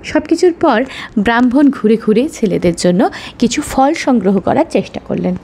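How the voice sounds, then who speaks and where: A woman's voice: a little high, lively intonation, normal speed, a little hiss or hum. A woman narrating a scripted children's story as a storyteller. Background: soft background music.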